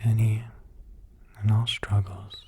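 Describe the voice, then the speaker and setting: A man softly guiding a meditation in a hushed, breathy, mostly whispered ASMR voice, soothing, in short halting phrases. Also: fairly low pitch, flat delivery, slight background noise. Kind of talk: soft-spoken ASMR guided meditation.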